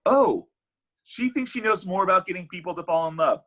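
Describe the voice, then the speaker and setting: A man's voice: high-pitched, lively intonation, a little fast, a bit bright. A man playing Cupid in a comedy table read, a bitter, heartbroken matchmaker god who gets defensive and exasperated. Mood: bitter, outraged. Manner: exasperated, indignant, stammering.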